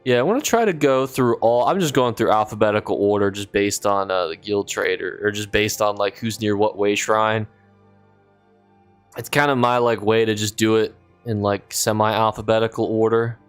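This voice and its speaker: Hoarse-voiced man streaming a game. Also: lowish voice, fairly even intonation, normal speed, soft background music. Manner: rambling, thinking aloud, reads chat. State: losing his voice, sick. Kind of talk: casual live-stream chat while gaming.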